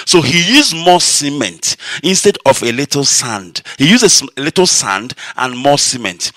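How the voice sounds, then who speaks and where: A man, an Igbo speaker at heart, telling a story from his childhood on a live broadcast. His voice jumps high whenever he gets excited and drops low when he turns reflective. The tone is bright and forward, recorded close and dry with no room around it. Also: no echo. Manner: animated, emotional, swinging high when excited. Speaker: radio broadcast host.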